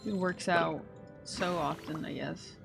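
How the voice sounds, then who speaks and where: A woman chats casually as she streams a game, with plenty of fry in her mid-pitched voice. She takes her time, thinking out loud in slangy, sweary remarks. Music plays behind her. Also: steady tone, unhurried. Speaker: game streamer reacting to play. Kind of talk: casual livestream chat and game reaction.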